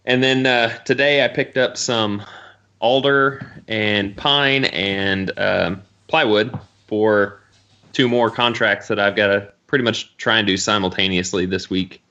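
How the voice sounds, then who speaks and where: A man's voice: fairly low pitch, unhurried, sharp tone. A man chatting casually as a podcast co-host about his working week. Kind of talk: casual chat. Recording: slightly dull lo-fi audio.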